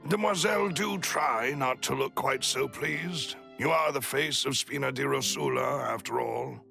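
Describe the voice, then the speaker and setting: Formal male retainer for a video game: mid-pitched, heavy gravel, dry and courteous. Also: unhurried, bright, forceful tone, faint music behind, heavily acted. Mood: dry, proud.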